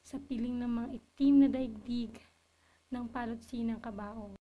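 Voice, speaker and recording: Female poetry reader, dark soft tone, somewhat high register, solemn and measured literary delivery. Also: steady tone, low-quality recording.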